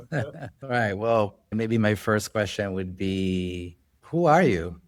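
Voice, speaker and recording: Calm low-voiced male podcast host. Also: slow, no echo, clean studio sound. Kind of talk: relaxed podcast hosting.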